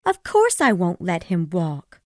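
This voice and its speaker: A man reading a story aloud voices a firm young woman scolding her brother and her mother, sharp and insistent. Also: high-pitched, wildly swooping pitch, a little slow, no echo, studio quality, voice pushed up, exaggerated voice. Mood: stern.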